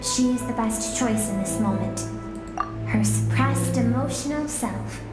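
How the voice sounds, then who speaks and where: A high, tender young woman, played by an actress speaks as someone's banished emotional self in a game drama. She is earnest and pleading, measuring each line. Her voice rings with a roomy echo over loud music. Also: unhurried, natural voice. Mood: solemn, resolute. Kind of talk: dramatic video game voice acting.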